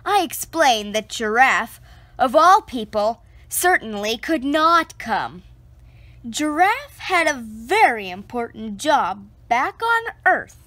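A woman reading a children's picture book aloud, slow and expressive, close to the camera indoors. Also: dry recording. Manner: slow, expressive storytelling with lively pitch.

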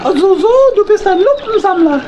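A woman's voice: extremely high pitch, brisk, background noise. A woman voicing a small, naive, earnest male hedgehog in a children's audio drama. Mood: guarded, friendly.

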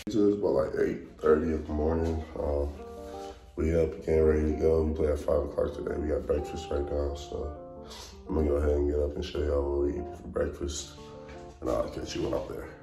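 A young man vlogging casually to his phone in a hotel room, with a relaxed slangy delivery full of ums. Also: extremely low pitch, a little fast, music in the background. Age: young adult. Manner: laid-back slangy talk with many ums. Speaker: college basketball player vlogger. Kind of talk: casual vlog to camera. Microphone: phone held at arm's length.

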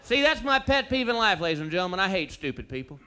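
Bright-voiced Texan man doing stand-up. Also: high voice, very animated, quick pace, a little hiss or hum. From Texas. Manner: drawling storyteller, slips into silly character voices. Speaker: stand-up comedian. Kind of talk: stand-up comedy routine. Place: theater stage before a live audience. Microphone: handheld microphone. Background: audience laughter.

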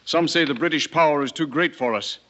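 An actor playing an anxious, formal colonial delegate reporting deferentially in an old-time radio drama. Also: male voice, on the high side, a little fast, fairly bright tone, natural voice. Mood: worried. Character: anxious revolutionary delegate bringing discouraging reports. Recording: dull vintage radio recording.

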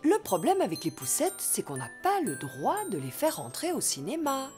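A woman voicing a patient, gently firm mother donkey in a cartoon, motherly and reasoning. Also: a little high, huge pitch swings, normal speed, over music. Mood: teasing, gentle. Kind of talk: cartoon voice acting.

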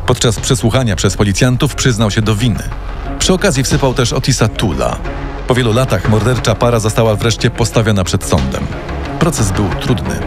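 A man's voice: lowish voice, animated, a little fast, music in the background. A man narrating a scripted true-crime story in a dramatic storytelling style.

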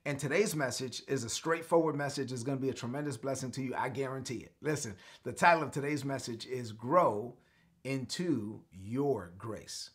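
A man's voice: medium pitch, moderate pace, a bit bright. A middle-aged man from Brooklyn, a pastor giving a live-streamed daily sermon from a home studio, earnest and declarative, repeating phrases for his viewers to echo. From Brooklyn, New York. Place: home studio with lit shelves.

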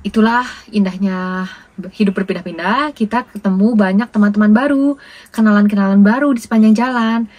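A woman's voice: mid-range voice, fast talker, a little mellow, no room sound. A young woman with an Indonesian accent, chatting casually as a travel vlogger. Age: young adult. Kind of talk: casual chat to camera and with people met on the road.

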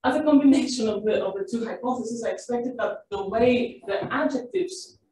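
A woman's voice: fairly high pitch, normal speed, mellow. A woman researcher presenting her study at an academic seminar, explaining at length with fillers and self-corrections. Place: seminar room. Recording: echoey room, distant mic, faint hiss.

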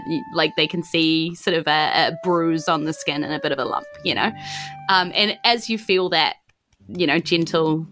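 A woman's voice: fairly low pitch, a bit bright. A woman with a New Zealand accent improvising tabletop game narration as game master, in an informal, conversational manner. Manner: informal, conversational, many "you know"s.

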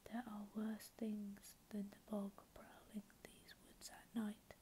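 A stern male faerie lord with claws and fangs, hushed to a whisper in a storybook reading, played by a woman. The tone stays soft, mellow and level. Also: mid-range voice, completely flat delivery, slow, close to an everyday voice. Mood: scolding.

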